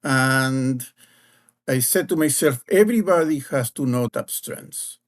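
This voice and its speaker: A man from Argentina with an Argentine Spanish accent, a guest telling his life story slowly and with pauses in an interview. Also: mid-range voice. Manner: slow, measured storytelling with pauses. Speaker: guest, leadership advisor.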